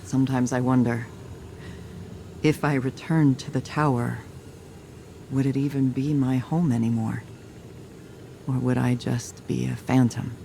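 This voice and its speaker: A man's voice: mid-range voice, steady tone, unhurried, vocal fry, long pauses, dark tone, a little hiss or hum. A man playing a weary, haunted soldier who reflects in a subdued monologue in a video game. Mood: troubled, reflective. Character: weary, haunted soldier confiding doubts, resolute about duty. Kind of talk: scripted game dialogue.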